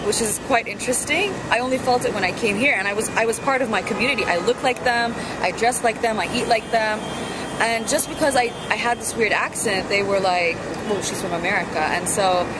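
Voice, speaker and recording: A woman of twenty-four from Lebanon tells her life story in an interview, earnest and reflective, with frequent ums and restarts. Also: mid-range voice. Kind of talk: personal life story to camera. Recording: loud street traffic noise.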